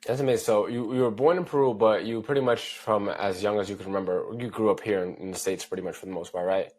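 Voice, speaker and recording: A man's voice: fairly low pitch, steady tone, fast, a little mellow. A man hosting a podcast, asking loose, chatty questions full of fillers. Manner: loose and chatty, heavy on fillers like "kinda" and "you know". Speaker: podcast host. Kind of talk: casual interview.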